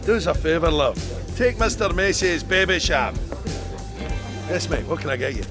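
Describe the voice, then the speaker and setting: A man's voice: fairly high pitch, animated, moderate pace, music in the background, close to an everyday voice. An actor playing a surly, scornful pub barman in a radio comedy, curt and sneering. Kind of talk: British comedy radio play acting.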